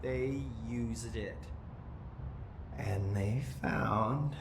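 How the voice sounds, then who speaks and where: A detached, eerily calm man speaks in slow, flat, measured phrases. His voice rings in an echoey room with steady noise behind it. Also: lowish voice, creaky, full character voice. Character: eerie, detached man, brother held in captivity, possessed.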